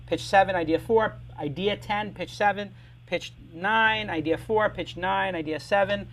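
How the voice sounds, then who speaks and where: High-voiced fast-joking male show host. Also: a bit bright, slight background noise, poor audio. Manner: brisk, joking, talks fast in bursts. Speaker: podcast host. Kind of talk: live startup show hosting.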